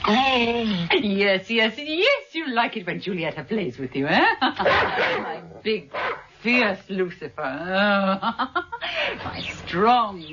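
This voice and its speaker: An actress playing a sultry, cruel and devoted villainess in a vintage radio drama, with a cooing, languid manner. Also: female voice, medium pitch, huge pitch swings, extremely slow, background noise, heavily acted. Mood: playful, teasing. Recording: dull, muffled vintage radio recording.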